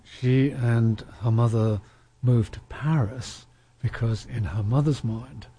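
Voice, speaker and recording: A man interviewing guests in a relaxed chat, often repeating words and restarting his sentences. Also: mid-range voice, slow, husky. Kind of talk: casual conversational interview.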